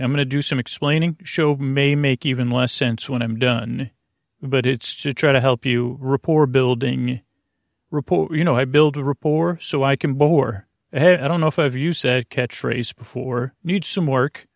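A man with a creaky, fry-laden voice delivers a bedtime monologue meant to lull. His phrasing wanders and stalls, looping back through false starts and asides in a soothing way. The sound is dry, with no room around it. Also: medium pitch, dry recording. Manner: meandering, halting, digressive, with repeated false starts; soothing, lulling. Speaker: podcast host. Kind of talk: rambling bedtime podcast monologue to lull listeners to sleep.

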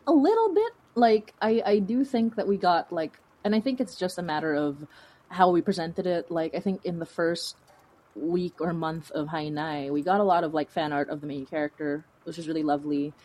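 Low-pitched woman, chatty and informal. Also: normal speed, mellow. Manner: chatty, informal, laughs while talking, thinks aloud. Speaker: interview guest, fiction podcast creator. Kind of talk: casual conversational interview.

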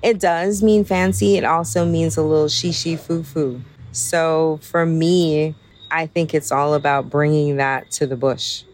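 Slow, low-voiced woman from Barbados. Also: fairly even intonation, a little mellow, slight background noise. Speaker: farm restaurant co-owner. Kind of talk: interview guest, casual chat.

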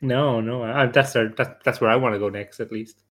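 A man's voice: medium pitch, steady tone, a little fast. A man chatting casually as a podcast panelist, joking and rambling hesitantly as he goes. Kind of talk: casual chat.

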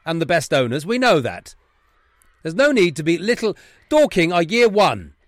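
A man acts out a stern headmaster telling off boys in a comedy sketch. The voice is loud, pushed high and emphatic, repeating points indignantly. The recording is dry. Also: big pitch swings, moderate pace, no room sound. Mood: scolding. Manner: loud, emphatic, scolding, repetitive.

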